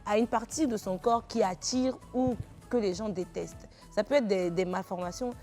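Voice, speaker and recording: A woman's voice: mid-range voice, moderate pace, mellow, faint music behind. A woman guest on a talk show speaks emphatically, giving heartfelt advice.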